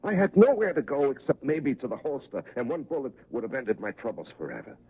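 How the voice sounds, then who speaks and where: A man's voice: mid-range voice, wildly swooping pitch, a little fast, slightly rough, exaggerated voice. An actor playing a distraught, fatalistic male cop in a 1970s radio drama, speaking in agitated, emphatic repetitions. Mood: anguished. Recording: dull, faintly noisy old radio recording.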